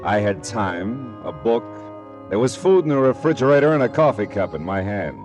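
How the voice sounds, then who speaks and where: A man's voice: on the low side, big pitch swings, moderate pace, darkish tone, faint music behind. A man playing a wry, world-weary American private detective in a 1940s radio drama, speaking in terse, punchy phrases. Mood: wry, leisurely. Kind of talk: 1940s radio drama acting, first-person narration.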